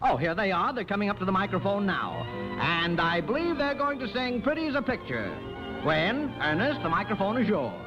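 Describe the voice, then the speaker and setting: A man's voice: high-pitched, very animated, a little fast, music in the background. An American man playing a wheedling, flustered showbiz promoter who stammers ingratiatingly in a 1940s radio comedy. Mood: showy, flustered. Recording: muffled, noisy 1940s radio broadcast.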